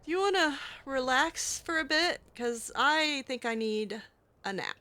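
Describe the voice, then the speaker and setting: Female let's player, in-character and self-talking; clear forward tone, leisurely delivery, light background ambience. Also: high-pitched, animated, measured pace, bright, forceful tone. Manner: talks aloud to herself, musing. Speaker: let's play host roleplaying her character. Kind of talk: in-character improvised game narration.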